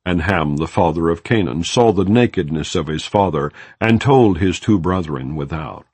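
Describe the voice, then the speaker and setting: A man reads scripture aloud in a slow, solemn, measured way, pausing between each name. His low voice has a gravelly edge and moves a lot in pitch. The recording is dry and close, with no room echo. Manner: measured, solemn phrasing with long pauses between names. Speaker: scripture reader. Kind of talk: unhurried audiobook reading of scripture.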